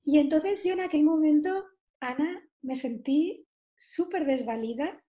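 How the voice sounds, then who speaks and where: A woman's voice: high voice, a little slow, warm tone. A woman, a nutrition therapist telling her own story as an interview guest, chatty and full of fillers and tag questions. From southern Spain. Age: 40s.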